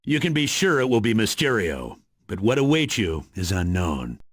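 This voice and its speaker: An actor playing an authoritative spy agency commander in a video game, giving orders in a gruff, commanding manner. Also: male voice, fairly low pitch, big pitch swings, normal speed, a bit bright, dry recording. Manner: commanding, briefing, dry. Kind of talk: video game mission briefing.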